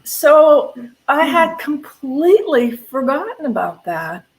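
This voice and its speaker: A woman's voice: high-pitched, big pitch swings, slow, a little mellow, rough recording. An older woman, a minister, sharing personal reflections in a spiritual talk in a candid, conversational way, stressing key words.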